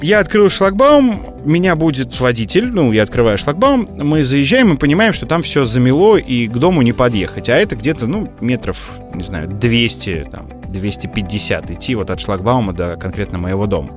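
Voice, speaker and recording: A man's voice: medium pitch, sing-song, moderate pace, few pauses, soft background music. A man co-hosting a podcast, telling things casually in a hesitant, digressive way full of fillers. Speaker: podcast co-host. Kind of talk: casual conversational storytelling.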